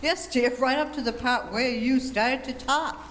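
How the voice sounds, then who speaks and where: Older woman acting a wry, highish-voiced housewife. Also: normal speed, a bit bright, a little hiss or hum. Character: wry, sensible middle-aged wife and mother. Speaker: amateur actor in a senior theater class. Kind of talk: old-time radio comedy acting.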